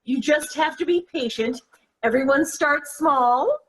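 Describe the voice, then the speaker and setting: A woman acting a prim, disapproving older mother who gently scolds her grown children in a stage-play table read. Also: high-pitched, measured pace, slight background noise, poor audio.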